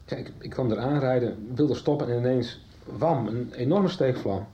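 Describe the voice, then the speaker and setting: An actor playing a shaken accomplice who reports a fatal crash in short, tense sentences. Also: male voice, medium pitch, moderate pace, mellow, lo-fi sound, close to an everyday voice. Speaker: character in a radio soap. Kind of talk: 1990s radio drama acting.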